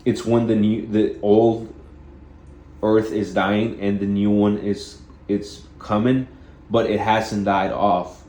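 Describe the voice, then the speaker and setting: A man with a deep voice giving a rambling spiritual self-help monologue, halting and repeating phrases as he goes. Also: level tone, mellow, reverberant. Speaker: vlogger.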